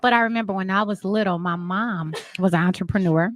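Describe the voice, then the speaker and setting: Female, thirties, talk show guest. Informal, animated storyteller, mid-range voice, dry recording. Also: mid-range voice, fairly quick, no room sound, faint music behind. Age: 30s. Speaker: talk show guest, marketing and branding entrepreneur. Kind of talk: casual interview chat.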